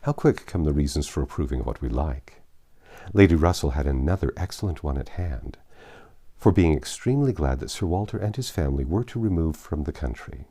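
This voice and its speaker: A man reading a classic novel aloud as an audiobook narrator, in a measured, formal delivery. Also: a bass voice, animated, warm tone, slightly rough.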